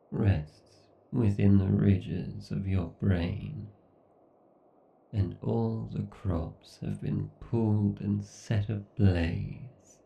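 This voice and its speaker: A man playing an obsessed, sadistic AI god villain in a horror ASMR roleplay, gloating with slow, grandiose menace and an echo on the voice. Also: fairly low pitch, vocal fry, full character voice. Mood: grave, menacing. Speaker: voice actor. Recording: muffled, echoey, distant-sounding.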